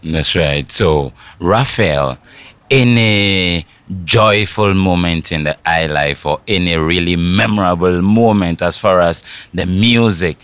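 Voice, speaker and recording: A man's voice: low-pitched, a bit bright, smooth, no echo. A man from Africa working as an interviewer, who asks long, winding questions.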